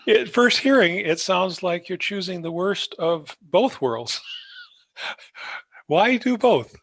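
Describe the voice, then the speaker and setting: A man's voice: high voice, lively intonation, slow pace, vocal fry, a bit bright. A man interviewing a guest in a conversational way, recapping answers and putting questions as a podcast host.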